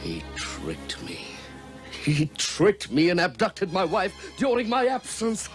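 A noble, grieving prince from an animated epic. The medium-pitched voice is slow and earnest, swinging dramatically in pitch over music. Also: male voice. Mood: vengeful anguish. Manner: earnest, emotional, declamatory. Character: noble heroic prince, passionate, grieving husband. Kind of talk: theatrical animated character acting. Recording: clear music behind the voice.

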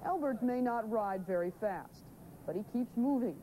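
A woman reporter narrating a news feature in a measured, wry way. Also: fairly high pitch, animated, heavy background noise.

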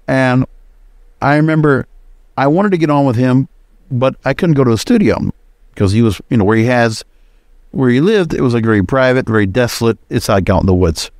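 Male podcast host, medium pitch, casual solo commentary, opinionated, rambling, dry close sound. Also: darkish tone, no echo.

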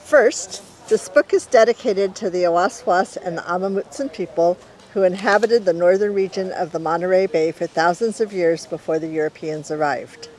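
A woman's voice: fairly low pitch, moderate pace, darkish tone, rough recording. A woman in her sixties with an American accent, reading a picture book aloud as its author. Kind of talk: storybook read-aloud. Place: outdoor walled courtyard in daylight.

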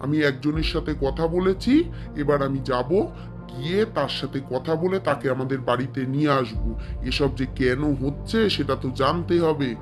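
A man's voice: mid-range voice, normal speed, music in the background, close to an everyday voice. A man voicing a dutiful young son in a cartoon, breezy, casual and familiar in his talk. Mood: attentive.

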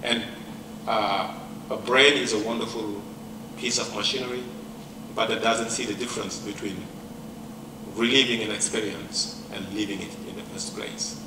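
A man's voice: lowish voice, steady tone, distant mic. Reflective man lecturing in an echoey hall. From Senegal. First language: a language of Senegal. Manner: reflective, measured, pausing often. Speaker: professor giving a lecture. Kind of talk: personal lecture to an audience. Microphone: handheld mic, far camera. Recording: echoey hall mic with room noise.

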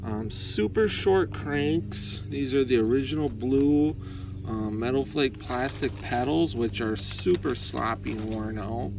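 A young man talks off the cuff in an enthusiastic, everyday way. His mid-pitched voice has plenty of vocal fry. He is recorded outdoors on a handheld device, with clear ambient noise behind him. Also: a little slow. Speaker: vlogger reviewing vintage bikes. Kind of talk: casual unscripted review to camera. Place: outdoors, in a yard and driveway.

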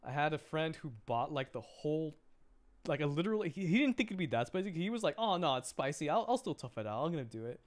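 A man's voice: fairly high pitch, very animated, quick pace. A young man streaming a video game, chatting casually and rambling, often repeating words as he thinks aloud.

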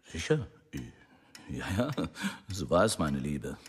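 Genial, deferential husband for radio drama: extremely low, rough-edged voice, unhurried. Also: male voice, big pitch swings, exaggerated voice. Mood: agreeable. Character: genial, agreeable American husband, deferring to his wife. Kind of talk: German radio drama acting.

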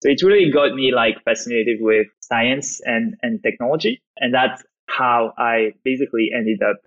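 A man's voice: mid-range voice, normal speed, a bit bright, muffled recording. A man from France with a French accent, answering questions as a podcast guest in a loose, conversational way with many fillers. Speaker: guest, startup founder. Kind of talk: podcast interview.

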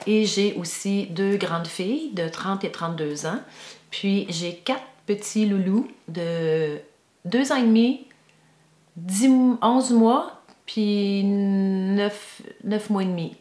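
A woman's voice: medium pitch, takes it slowly, darkish tone. A middle-aged woman with a Québécois French accent, chatting casually as a podcast co-host. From Quebec, Canada. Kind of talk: casual chat. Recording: roomy, echoey indoor sound.

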